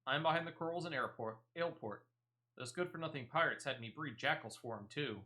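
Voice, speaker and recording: A man's voice: mid-range voice, brisk, bright, forceful tone, natural voice. A man voicing a defensive, wheedling woman animal smuggler from a video game, in rustic speech with dropped letters. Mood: grumbling, defensive. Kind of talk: video game dialogue read aloud.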